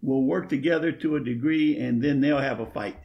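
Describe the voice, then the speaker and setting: A middle-aged or older man giving opinions in a casual chat, halting and repeating words as he goes. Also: medium pitch, gravelly, highs cut off. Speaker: panel guest giving opinions.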